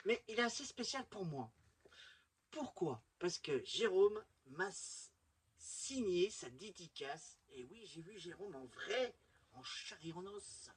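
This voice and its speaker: YouTube collector host. Very rough, husky voice at a medium pitch, rambling fan delivery, casual show-and-tell.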